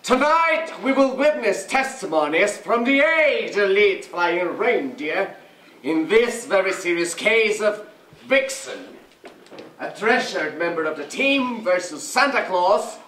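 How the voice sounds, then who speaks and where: A man's voice: high-pitched, big pitch swings, slow, fairly bright tone, full character voice. A man acting a pompous North Pole magistrate on stage, speaking in a grandly formal, declamatory way. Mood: solemn, commanding. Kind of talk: theatrical stage monologue. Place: indoor theatre stage. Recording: echoey theatre, distant mic, faint noise.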